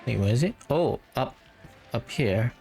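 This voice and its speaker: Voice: medium pitch, animated, a little slow, music in the background. A game player giving casual let's-play commentary, offhand and musing aloud.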